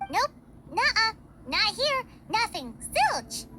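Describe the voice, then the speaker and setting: A woman voicing a tiny, childlike fairy companion in a video game, cheeky and excitable, with a squeaky voice. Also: slow pace, noisy background, heavily acted. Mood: flustered. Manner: exclamatory, talks of self in third person.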